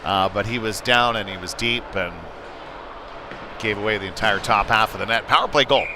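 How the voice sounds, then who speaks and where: A man doing live play-by-play of a youth hockey game in a conversational manner that rises to excitement with the play. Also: fairly low pitch, normal speed. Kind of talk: live sports commentary with casual asides. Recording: clear noise of a live sports venue.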